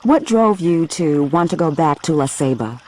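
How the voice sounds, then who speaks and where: A woman's voice: low-pitched, animated, normal speed, a little mellow. A woman author asking curious, short questions while walking outdoors on farmland. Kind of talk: casual interview on a walk.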